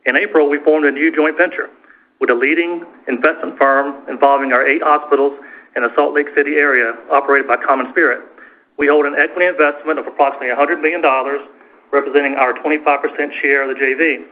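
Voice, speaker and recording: A man's voice: fairly high pitch, steady tone, quick pace. A man, a finance executive, reading a scripted financial report on a corporate earnings call. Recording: tinny, narrow phone-line conference call.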